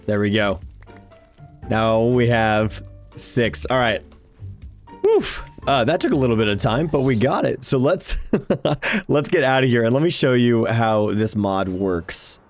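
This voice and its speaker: A man's voice: mid-range voice, measured pace, pauses often, soft background music. A man giving casual, exclamation-filled gameplay commentary, often talking to himself as he plays. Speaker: gaming YouTuber hosting a Minecraft series.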